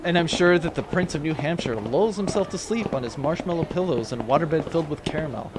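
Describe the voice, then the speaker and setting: Man playing a sarcastic, animated know-it-all crewmate. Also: on the high side, fairly quick, very noisy, close to an everyday voice. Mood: scornful. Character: scornful, nerdy male conspiracy theorist crewmate. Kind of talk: comic space-opera audio drama acting.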